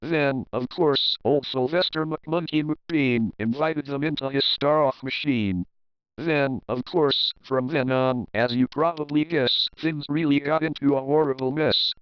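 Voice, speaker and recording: A man reading a rhyming picture book aloud in an expressive, theatrical storytelling manner. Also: mid-range voice, measured pace, smooth, dull audio. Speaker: children's story reader, host. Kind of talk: rhymed picture-book narration.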